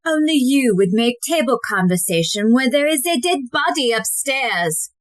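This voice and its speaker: A woman playing a haughty, sharp-tongued, exasperated society lady in a farcical audio play. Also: fairly high pitch, lively intonation, moderate pace, no room sound, lightly acted.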